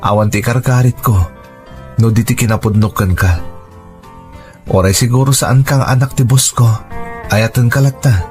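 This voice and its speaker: A man playing a sincere young factory worker in love, earnest and gentle, in a radio romance drama. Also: medium pitch, normal speed, long pauses, close to an everyday voice. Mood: earnest, tender. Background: background music.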